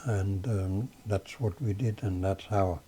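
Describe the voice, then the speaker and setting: An older man with a Danish accent, a native Danish speaker, recalling his life in a slow, halting way with many pauses and fillers. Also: low-pitched, fairly even intonation, dark tone, a little hiss or hum. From Denmark. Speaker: father being interviewed. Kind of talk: casual reminiscing interview.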